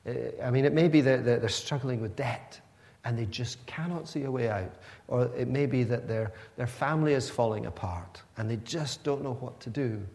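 A man preaching with an earnest, conversational delivery, often restarting his sentences. His voice sits at an ordinary middle pitch. A long reverb trails behind him, the sound of a church hall. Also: moderate pace, a little mellow. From Pakistan. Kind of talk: sermon.

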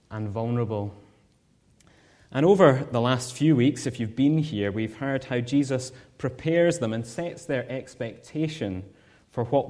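A man's voice: medium pitch, a little mellow. Earnest Scottish man preaching. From Scotland. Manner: earnest, measured, with rhetorical questions. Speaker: guest preacher. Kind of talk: sermon.

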